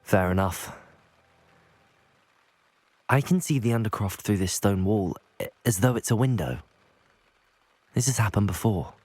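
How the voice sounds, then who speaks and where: A man's voice: lowish voice, animated, a little slow, long pauses, a little mellow, husky, dry recording. A young man playing a composed, thoughtful student wizard in a video game, speaking politely and with reserve. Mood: candid. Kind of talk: video game cutscene acting.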